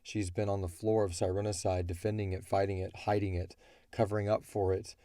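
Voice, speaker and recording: A man's voice: lowish voice, level tone, brisk, warm tone, dry recording, clean studio sound. A man who creates audio drama, talking casually and colloquially through his story in a rambling way. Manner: rambling, colloquial, often voicing characters' thoughts. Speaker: audio drama creator answering listener questions.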